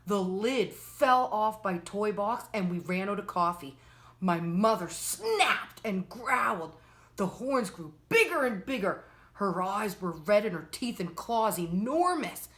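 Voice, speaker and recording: A woman's voice: medium pitch, sing-song, a bit bright. A woman hosting a children's storytime, reading a picture book aloud expressively and speaking directly to young listeners. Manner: slow, addresses children directly, storyteller phrasing. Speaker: children's storytime host and reader.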